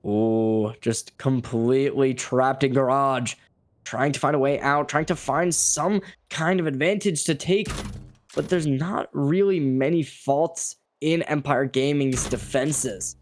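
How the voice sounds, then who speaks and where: A man's voice: mid-range voice, slow pace. A man giving live play-by-play commentary on an esports match. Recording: gunfire and game noise behind voice. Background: video game gunshots and clicks.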